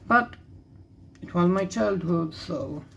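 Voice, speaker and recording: Casting: young Israeli man, native Hebrew speaker, gravelly fry, unhurried halting delivery, candid rambling hobby-video host. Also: high voice, a bit bright, a little hiss or hum. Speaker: card-opening video host. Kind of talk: casual rambling commentary while opening cards.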